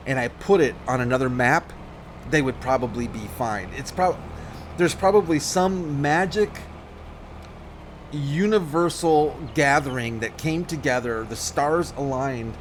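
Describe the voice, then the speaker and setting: A man's voice: mid-range voice, a little hiss or hum. A man giving casual let's-play commentary on a farming game, thinking aloud, rambling and often correcting himself mid-sentence.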